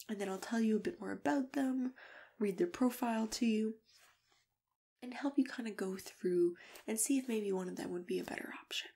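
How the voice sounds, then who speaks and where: A woman playing a kindly, attentive matchmaker in a soft, gentle ASMR roleplay, often dropping into whispers as she talks unhurriedly to a client. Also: on the high side, gravelly, slightly rough. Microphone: close ASMR microphone.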